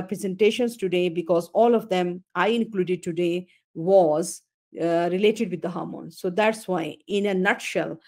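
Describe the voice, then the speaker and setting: A woman's voice: on the low side, a little mellow. A woman in her fifties gives a medical webinar from a computer mic on a video call. She explains each slide patiently, repeats phrases, and stops to correct herself with "uh". She is a doctor talking to an online audience. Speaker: OB-GYN consultant hosting a webinar. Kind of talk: webinar lecture over slides.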